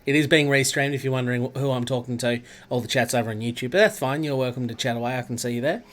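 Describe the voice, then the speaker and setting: Australian man, stuffy nasal voice, live-stream host, chatty, greets viewers by name, mid pitch. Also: quick pace, fairly bright tone. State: congested, nasal from a cold. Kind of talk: casual live-stream chat over gameplay.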